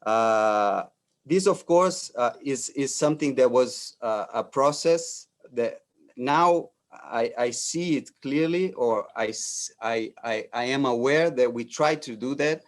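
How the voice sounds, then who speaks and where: A Brazilian architect in his forties giving a talk in English as a second language, halting with frequent fillers and restarts. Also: medium pitch. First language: Portuguese. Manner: hesitant, many fillers and restarts. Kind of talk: lecture presentation.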